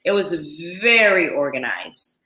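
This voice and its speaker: A woman speaks in a bright, slightly low voice. She tells stories in a lively way, laughing often, as a guest on a casual podcast. The sound is echoey, like a bare room. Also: unhurried. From Central Africa. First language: French. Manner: lively storytelling with frequent laughter. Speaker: guest chef. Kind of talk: casual chat.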